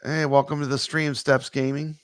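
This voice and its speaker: A man co-hosting a casual live stream, chatting with viewers; his voice is still gravelly from being sick. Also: mid-range voice, normal speed, no room sound, clean studio sound. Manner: relaxed, chatty, greets viewers. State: gravelly, voice not fully recovered.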